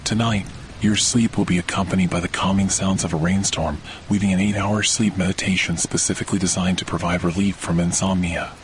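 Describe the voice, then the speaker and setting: A man narrating a slow, scripted guided sleep meditation in a calm, measured way. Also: low voice, a little hiss or hum. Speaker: meditation podcast host. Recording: rainstorm and thunder under the voice. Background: steady rain and thunder.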